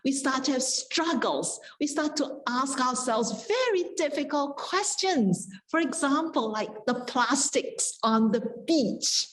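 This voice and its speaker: A woman speaking as a panelist, reflecting in a measured, contemplative way with deliberate pauses. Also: high-pitched, animated, rough recording. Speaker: panelist, Buddhist practitioner.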